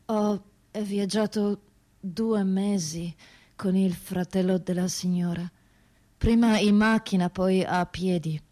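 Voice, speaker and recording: A woman playing a young trafficked migrant woman, telling her hardship in a measured radio-theatre monologue with short halting phrases. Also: mid-range voice, a little mellow, close to an everyday voice.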